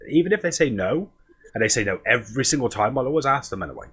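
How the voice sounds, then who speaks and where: A man with a husky voice, chatting casually and self-deprecatingly as he hosts a podcast interview. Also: medium pitch, very animated, fast talker, a bit bright. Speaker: podcast host.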